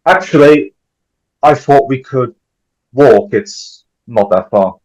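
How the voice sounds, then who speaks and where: A man playing a shy, awkward young lawman with halting speech in an amateur table read. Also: mid-range voice, darkish tone, close to an everyday voice, low-quality recording. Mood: bashful.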